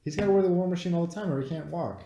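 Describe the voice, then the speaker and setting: A man's voice: sits high, very fast, gravelly, dark tone. A man co-hosting an amateur movie review in casual back-and-forth, stubbornly repeating his points. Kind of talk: casual argumentative film chat between friends. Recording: echoey room with slight noise.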